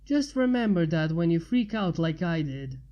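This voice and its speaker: A woman's voice: lowish voice, sing-song, moderate pace, dull audio, voice pushed down. A woman voicing a stressed, urgent woman writer with a secret in a story reading. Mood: anxious. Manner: urgent, hissing and pleading.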